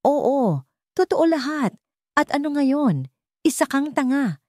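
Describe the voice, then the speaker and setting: A woman's voice: sits high, big pitch swings, takes it slowly, darkish tone, no echo, pitched above the performer's own voice, heavily acted. A scheming mistress acted by a woman narrator spits scorn in a raised, heated voice. She is spiteful and defiant, in a dry audio-story recording. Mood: angry, scornful.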